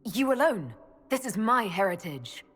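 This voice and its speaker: A woman's voice: medium pitch, very animated, a little slow, husky. An actress playing a cautious, earnest elven woman who leads a band in a fantasy game, direct and questioning. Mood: challenging. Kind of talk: dramatic fantasy game acting.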